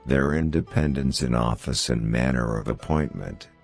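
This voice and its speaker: Extremely deep-voiced man reading quotations. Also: moderate pace, darkish tone. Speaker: narrator. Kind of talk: scripted narration of famous quotations. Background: background music.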